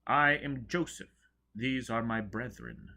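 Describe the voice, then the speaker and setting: A man voicing a solemn, zealous male leader of a science cult, declaiming loudly and ceremonially in an audiobook. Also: mid-range voice, takes it slowly, creaky, pitched above the performer's own voice, exaggerated voice.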